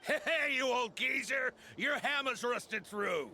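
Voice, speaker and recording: A man's voice: very high voice, lively intonation, slow pace, bright, forceful tone, faint music behind, heavily acted. An actor playing a cantankerous, teasing townsman in a video game, with a needling, exaggerated delivery. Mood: mocking. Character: cantankerous, teasing male townsman, loud-mouthed customer.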